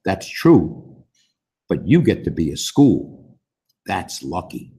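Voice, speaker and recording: A man voicing a kindly, laconic janitor in a storybook, plain and reassuring, with a husky voice. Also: sits low, sing-song, takes it slowly, warm tone, voice pushed down, exaggerated voice.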